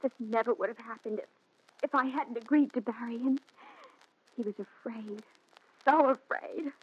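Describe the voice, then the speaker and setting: A woman's voice: fairly high pitch, moderate pace, slightly rough. An actress playing an anxious, pleading young woman with a dramatic delivery in a 1940s radio thriller. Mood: grieving. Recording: muffled, noisy 1940s radio recording.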